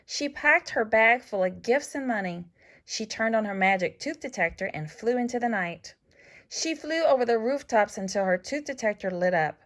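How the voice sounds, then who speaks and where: A woman reading a children's story aloud as scripted narration, with expressive, deliberate phrasing for young listeners. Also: a little high. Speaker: storybook reader.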